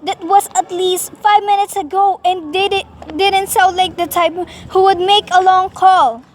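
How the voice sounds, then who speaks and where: Woman acting agitated self-important lady, radio drama, extremely high voice, imperious stammering, faint background noise. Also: steady tone, measured pace. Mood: flustered. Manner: imperious, flustered, stammering, insistent. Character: agitated, self-important, sickly married lady reporting a murder.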